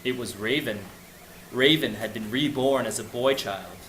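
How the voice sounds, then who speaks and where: A male storyteller narrates a folk tale for children in short, simple phrases. His medium-pitched voice rings in an echoey room with faint noise behind it. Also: moderate pace, a bit bright.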